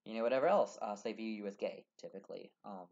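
A man's voice: fairly low pitch, fast, creaky, a little mellow. Earnest young man on lo-fi webcam. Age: young adult. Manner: earnest, rambling, many fillers and restarts. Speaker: vlogger. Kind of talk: casual talk to camera. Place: small room indoors. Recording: dull lo-fi webcam audio.

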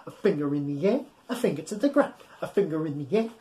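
Playful, very animated man hosting children's storytime. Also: on the high side, fast talker. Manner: playful, exaggerated, encouraging, talking directly to young listeners; animated, physically acting along. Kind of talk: interactive read-aloud of a children's picture book. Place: small indoor room at home.

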